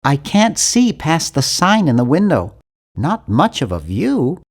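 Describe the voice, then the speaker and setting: A man's voice: on the high side, huge pitch swings, a little slow, exaggerated voice. A man voicing an earnest, timid young male nerd in an adventure video game, with a precise, fussy delivery. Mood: curious, matter-of-fact. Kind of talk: animated adventure game voice acting.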